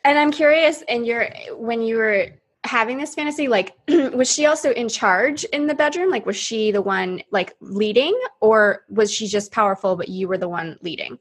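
Husky-voiced woman hosting a podcast. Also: a little high, moderate pace. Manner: upbeat, laughs often, many fillers. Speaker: podcast host. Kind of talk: casual podcast discussion.

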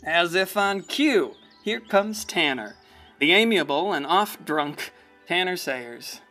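A folksy storyteller, music behind. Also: male voice, sits high, animated, slow pace, bright, forceful tone, exaggerated voice. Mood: wry, gossipy. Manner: chuckling, hesitant, theatrical. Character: folksy, wry small-town storyteller, fond and rambling. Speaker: narrator. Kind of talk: conversational scripted radio play narration. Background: prominent music.

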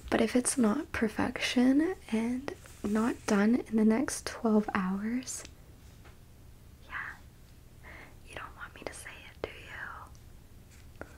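Casting: actress for condescending, squeamish alien captor in ASMR roleplay; high, hushed whisper, coaxing manner. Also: female voice, slow, long pauses, full character voice, low-quality recording. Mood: condescending, menacing. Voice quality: breathy whisper. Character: haughty, squeamish female alien abductor examining a captive human.

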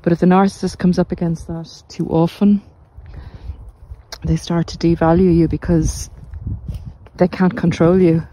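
A middle-aged woman giving reflective, informal podcast advice in a measured, deliberate way with pauses. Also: on the low side, flat delivery, mellow, a little hiss or hum, poor audio. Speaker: podcast host and narcissistic abuse recovery coach. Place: walk outdoors along the coast.

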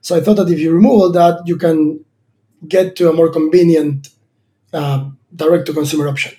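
A man's voice: fairly high pitch, fairly even intonation, normal speed, mellow. A man in his thirties from Argentina, a startup founder answering interview questions thoughtfully, with many fillers and restarts. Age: 30s.

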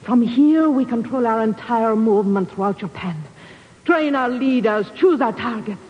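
A woman's voice: on the high side, expressive, normal speed, close to an everyday voice. An actress playing a cold, mocking female spy chief in a 1950s radio drama, taunting and imperious. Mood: cold, triumphant. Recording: reverberant, dull old radio recording.

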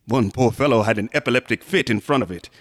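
An actor playing a smug, unctuous museum assistant in a horror radio drama, courteous and insinuating, sometimes dropping into whispers. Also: male voice, mid-range voice, fast talker, lightly acted. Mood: oily politeness. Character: sinister, smug, unctuous museum assistant guarding macabre exhibits.

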